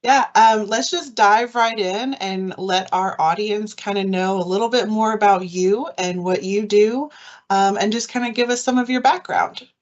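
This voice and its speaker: A woman's voice: medium pitch, normal speed. A woman hosting a live interview, upbeat and encouraging as she introduces a guest and asks about his work, over a video call. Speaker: host, career services director. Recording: dull lo-fi online stream audio.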